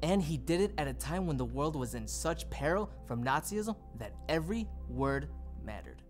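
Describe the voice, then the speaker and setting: Expressive man reciting, very varied pitch. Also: normal speed, faint music behind. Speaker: readers theater performer. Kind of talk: scripted recitation of quotations.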